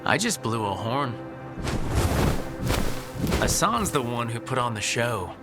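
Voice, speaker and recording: An actor playing a good-natured, dryly joking hero in a fantasy video game, with natural delivery. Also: male voice, mid-range voice, sing-song, slow. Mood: wry. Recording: game music behind the voice.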